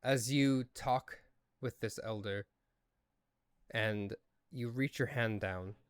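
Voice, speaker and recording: A man serves as game master, narrating in a measured, deliberate way. His voice is smooth and middle-pitched, and he takes his time. The sound is dry and close. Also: no room sound. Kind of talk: narrating a tabletop role-playing game.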